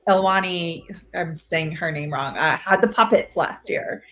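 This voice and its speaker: A woman's voice: lowish voice, a little slow, pushed and bright. A woman chatting casually and enthusiastically as a talk show co-host, in informal, gushing language. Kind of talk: casual chat.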